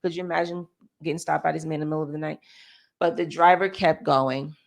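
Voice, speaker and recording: Female American co-host, lower register, reads articles aloud informally with small self-corrections. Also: steady tone, fast talker, gravelly. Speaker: co-host reading a news article. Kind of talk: reading aloud with casual asides.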